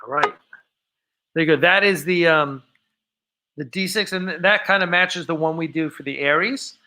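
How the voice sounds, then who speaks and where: Male livestream host, forceful bright tone, casual, halting and rambling delivery. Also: on the high side. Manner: rambling, halting, self-interrupting. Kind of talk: casual, unscripted livestream chat.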